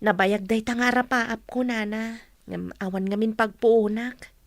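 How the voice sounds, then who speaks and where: A woman's voice: a little high, fairly even intonation, unhurried, no room sound. An actress playing a modest, polite young woman painter in a radio drama. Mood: shy gratitude.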